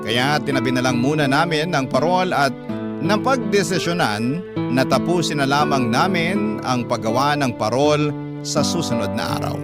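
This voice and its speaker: A man with a Filipino accent, reading a listener's letter dramatically as a radio narrator. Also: on the high side. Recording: loud guitar music bed behind.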